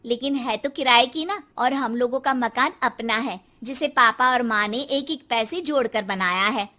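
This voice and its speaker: A woman's voice: fairly high pitch, moderate pace, sharp tone, voice pushed down. A woman voicing a sensible, witty young sister-in-law in a cartoon story. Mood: calm.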